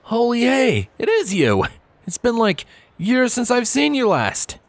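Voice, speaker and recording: A man voicing a brash, chummy, lanky young male pony full of excitement, in a cartoon-style story reading. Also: very high-pitched, unhurried, no echo, pitched above the performer's own voice, heavily acted. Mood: excited.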